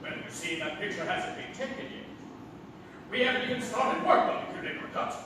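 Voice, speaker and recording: Bombastic, high-pitched deluded would-be president. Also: male voice, lively intonation, fairly quick. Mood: eager explaining. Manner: exclamatory, bombastic, commanding. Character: deluded, blustering man who believes he is President Roosevelt. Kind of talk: theatrical stage comedy acting. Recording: echoey theatre, far from mic, faint noise.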